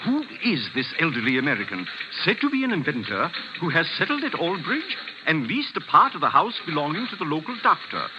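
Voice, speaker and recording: A sensational, declamatory newsman in an old radio play. His voice is high and swooping, on a dull recording with clear noise. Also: huge pitch swings, brisk, hardly any pauses. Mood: urgent, sensational. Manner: dramatic, declamatory. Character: breathless, sensational newspaper report reader. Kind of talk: 1940s radio drama acting.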